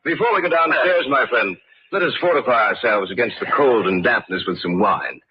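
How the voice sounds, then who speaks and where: An actor playing a sly, murderous nobleman with smooth, courteous and sinister politeness in an old-time radio drama. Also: medium pitch, animated, brisk, close to an everyday voice. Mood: ominous storytelling. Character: sly murderous nobleman feigning courteous concern for his victim. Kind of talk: old-time radio drama acting, first-person narration. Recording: noisy, muffled vintage radio recording.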